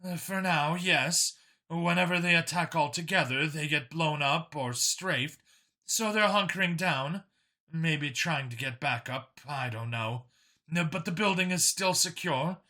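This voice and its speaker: A man's voice: on the high side, measured pace, bright, forceful tone, voice pushed up. A man voicing a brusque female combat squad leader on comms in an audiobook. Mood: weary, annoyed. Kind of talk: audiobook character voice.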